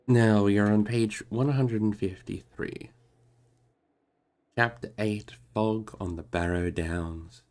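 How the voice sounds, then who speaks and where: A man's voice: fairly low pitch, steady tone, slow, darkish tone. A man narrating a book aloud on a livestream, moving between storybook reading and casual chat with listeners.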